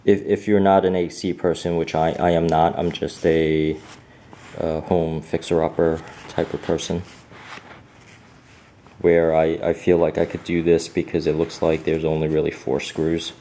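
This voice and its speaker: Low slow guy, kinda mellow, umms and restarts a lot, home diy vibe. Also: slight background noise. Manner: hesitant, many fillers and restarts. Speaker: home fixer-upper showing a manual. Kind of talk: casual off-camera walkthrough.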